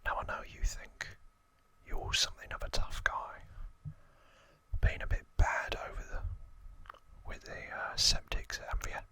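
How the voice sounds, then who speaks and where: A man's voice: unhurried, sharp tone. Man putting on a whispering, menacing Cockney gangster. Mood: threatening. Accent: put-on Cockney. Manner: threatening, slangy, self-important. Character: menacing Cockney London gangster twin, boastful and nostalgic. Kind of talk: whispered in-character reading of a game manual.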